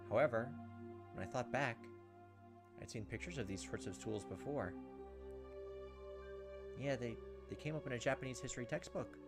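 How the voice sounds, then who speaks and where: A man reading a visual novel aloud as a let's player, telling it dramatically and acting out the lines. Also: mid-range voice. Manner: expressive, storytelling, acts out the lines. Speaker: video game let's player. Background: game music.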